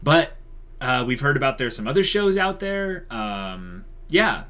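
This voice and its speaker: A man's voice: medium pitch, sing-song, measured pace, a bit bright, a little hiss or hum. A young man co-hosting a channel announcement, speaking casually and conversationally with plenty of likes and you-knows. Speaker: channel co-host.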